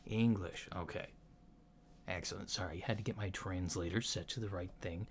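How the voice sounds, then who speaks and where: Casting: male performer for a polite alien physician in ASMR roleplay, lowish voice, unhurried and reassuring, noisy lo-fi sound. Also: steady tone. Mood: calm, reassuring. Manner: hesitant, methodical, reassuring clinical questioning. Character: polite, unhurried human-looking alien doctor giving a checkup. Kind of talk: soft-spoken ASMR roleplay. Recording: lo-fi with clear background noise.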